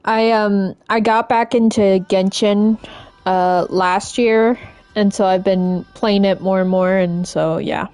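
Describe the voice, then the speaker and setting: A woman game streamer chatting casually and informally as she plays, in a halting, rambling way. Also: medium pitch, steady tone, darkish tone, clean tone, no echo. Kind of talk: casual live-stream chat while playing.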